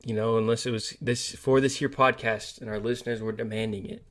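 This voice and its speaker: A man's voice: on the low side, flat delivery, fairly quick, a bit bright. A man co-hosting a podcast, talking casually in a dry, skeptical and pessimistic way. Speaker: podcast co-host. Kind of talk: casual movie discussion.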